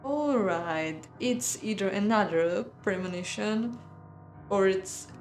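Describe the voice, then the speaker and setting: A woman hosting a game playthrough, reading a visual novel aloud in a measured dramatic way with casual, hesitant asides. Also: mid-range voice. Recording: roomy echo, music behind.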